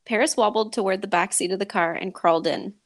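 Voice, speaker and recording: A woman's voice: mid-range voice, fast talker. A woman podcast host, talking and reading a story aloud in a dramatic way.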